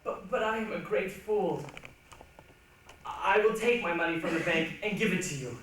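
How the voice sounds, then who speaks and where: A man's voice: sits high, normal speed, fairly bright tone. A man playing a passionate, poor junkyard owner and father longing for space in dramatic stage readers' theatre. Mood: torn. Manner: dramatic, impassioned, exclamatory. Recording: echoey hall, distant mic, faint noise.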